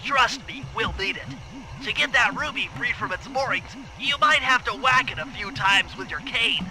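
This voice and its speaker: A man's voice: extremely high pitch, animated, moderate pace, a crowd in the background, poor audio. A man voicing a nerdy, meticulous turtle who plans for a gang in a cartoon video game, with a nasal voice and precise, technical delivery. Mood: businesslike briefing. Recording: music behind the voice.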